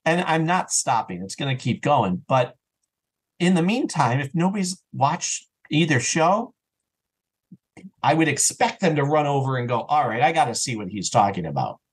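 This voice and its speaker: A man talking as a podcast guest in a casual, enthusiastic and animated way, slipping into imagined remarks as an audio drama creator. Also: mid-range voice, normal speed, husky. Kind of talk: casual enthusiastic interview chat.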